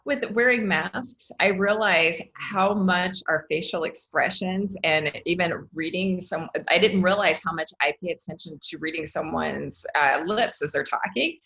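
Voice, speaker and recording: An educator on a podcast, a woman with a lowish voice, sharing stories with her hosts. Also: unhurried, creaky, few pauses, a bit bright, rough recording. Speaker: podcast guest, educator and author. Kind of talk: conversational interview answers.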